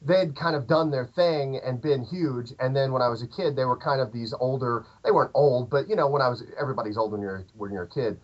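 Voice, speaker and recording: A man's voice: mid-range voice, fast, hardly any pauses. A man in his thirties with a southern Illinois accent, chatting casually as a podcast guest, rambling with many fillers and restarts. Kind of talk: casual interview chat. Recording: dull lo-fi podcast audio.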